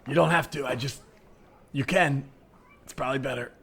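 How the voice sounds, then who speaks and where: Guy playing a mortified man in an improv skit, hushed and awkward, begging for discretion. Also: medium pitch, normal speed, gravelly, breathy edge, voice pushed up. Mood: embarrassed, pleading. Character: embarrassed man quietly pleading for discretion. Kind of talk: improvised comedy scene.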